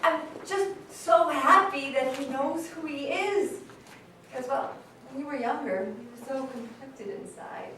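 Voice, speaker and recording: Plainspoken, free-spirited mom female actor, slightly high voice, loving stage monologue, very reverberant room. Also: lively intonation, a little slow, a bit bright. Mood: concerned. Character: free-spirited, frank, loving mother from rural Ohio. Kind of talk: staged reading, storytelling monologue. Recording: very echoey hall, distant mic, noisy.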